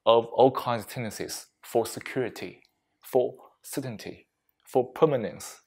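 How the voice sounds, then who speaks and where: A man leading a reflective mindfulness talk as a meditation teacher, speaking deliberately in short phrases with frequent pauses and non-native English phrasing. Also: medium pitch, a little mellow, slightly rough. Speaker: meditation teacher giving a workplace session.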